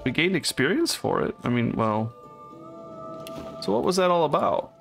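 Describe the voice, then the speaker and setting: A man's voice: a little high, very animated, normal speed, creaky, a bit bright. A man casually commenting on his co-op game as he plays, musing aloud and laughing often. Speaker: let's play host. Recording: game music behind voice.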